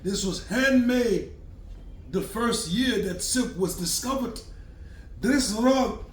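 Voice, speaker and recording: A man's voice: sits high, slow. A man voicing a stern old great-uncle. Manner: emphatic, scolding, rising to shouts. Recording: echoey room, distant mic, faint hiss.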